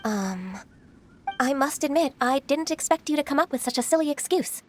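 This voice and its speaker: High-voiced, solemn, polite young official. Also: female voice, expressive, noisy background. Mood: apologetic. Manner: formal, measured, courteous. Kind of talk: video game voice acting.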